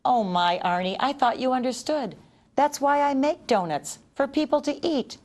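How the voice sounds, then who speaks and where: A woman's voice: sits high, animated, normal speed, a little mellow, pitched above the performer's own voice. A woman voicing an obliging, matter-of-fact male baker in a children's storybook, businesslike and helpful.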